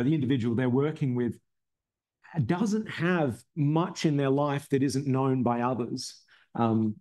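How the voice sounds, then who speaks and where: A man giving an academic seminar talk, explaining ideas in a halting way with many ums and restarts, his voice a little strained from losing it recently. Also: medium pitch, a little mellow. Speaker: philosophy researcher presenting a seminar talk.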